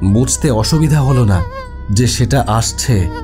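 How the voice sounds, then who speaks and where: A man playing a practical, skeptical house owner in a cartoon horror story, speaking in a measured way. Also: medium pitch, lively intonation, lightly acted. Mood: uneasy, hushed wonder.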